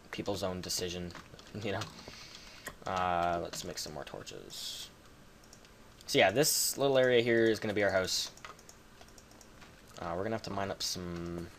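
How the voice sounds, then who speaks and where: A man's voice: low voice, slight background noise. A man with a Canadian accent giving rambling, casual commentary while playing a game, swearing now and then. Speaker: gaming video creator.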